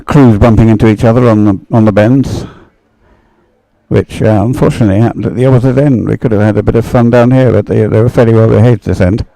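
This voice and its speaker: A man commentates live on racing in a soft-edged, mellow voice. His delivery is unscripted and halting, often hesitating and wandering as he goes. The sound is dry, with very little room around him. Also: fairly low pitch, steady tone, gravelly, no echo, low-quality recording. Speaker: race commentator.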